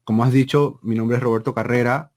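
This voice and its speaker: A man, explaining things conversationally as an interview guest, deliberate and emphatic, often checking with a tag question. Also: medium pitch, fairly even intonation, a little mellow. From Panama. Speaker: interview guest, seminary program director.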